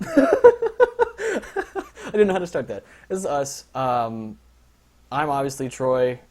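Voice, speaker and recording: A man's voice: mid-range voice, big pitch swings, takes it slowly, a little mellow. A young man briefing drivers on a casual livestream as the league organizer, rambling with lots of fillers and jokes. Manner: rambling, filler-heavy, joking.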